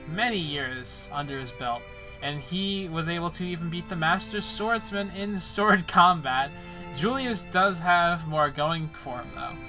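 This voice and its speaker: A young man hosting a casual, joking anime debate, rambling and restarting as he talks. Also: on the high side. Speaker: channel host.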